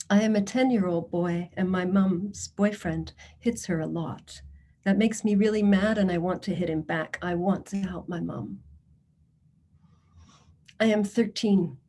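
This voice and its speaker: A woman giving a prepared address to a committee as a social services presenter. She speaks in a measured, earnest way and reads testimonies in the first person. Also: mid-range voice, mellow.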